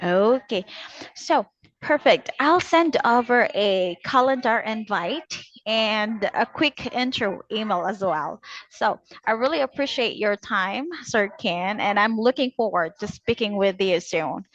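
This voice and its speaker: A woman working as a telemarketing agent, politely delivering a scripted cold-call sales pitch and addressing the customer formally. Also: animated, a little slow, a bit bright, lo-fi sound.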